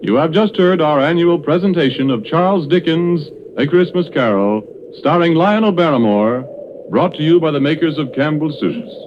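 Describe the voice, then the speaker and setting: A man's voice: on the high side, expressive, moderate pace, background noise. An American man making polished, formal sponsor announcements as a 1930s radio announcer. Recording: muffled vintage 1930s radio broadcast.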